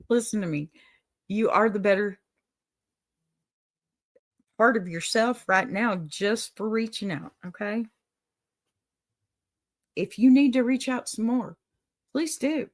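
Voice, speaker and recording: A woman's voice: mid-range voice, slow pace, long pauses. Folksy Texas woman hosting an advice show. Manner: folksy and motherly, calls listeners honey and y'all. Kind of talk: warm encouraging livestream advice talk.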